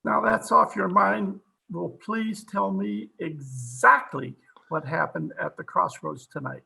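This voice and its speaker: Brief: skeptical police captain, acted by a man, sharp high voice, brusque interrogator, old-time radio mystery style. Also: fairly even intonation, slow pace, vocal fry, breathy edge, exaggerated voice, rough recording. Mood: brusque, demanding. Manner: brusque, demanding. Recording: home video-call recording.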